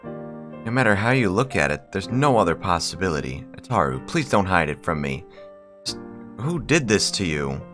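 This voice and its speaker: A man's voice: sits low, very animated, moderate pace, music in the background. A man voicing a refined, doting rich young lady from a visual novel, with formal, gushing politeness. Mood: worried, tender.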